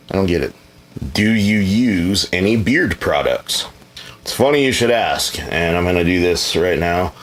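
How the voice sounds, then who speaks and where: A man's voice: sits low, a bit bright. A man answering viewer questions in a casual, rambling chat, laid-back and frequently hedging, now and then blunt and profane.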